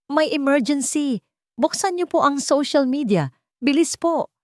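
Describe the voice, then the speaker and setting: Casting: female branch manager in a panic woman performer, high, urgent, frantic, story melodrama. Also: lively intonation, a little slow, darkish tone, no echo, studio quality. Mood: panicked.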